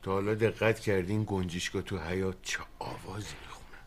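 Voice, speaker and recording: Zoned-out brother, super low voice, talks slow and hushed. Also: male voice, steady tone. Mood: dreamy. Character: dreamy, spaced-out brother. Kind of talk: sitcom acting.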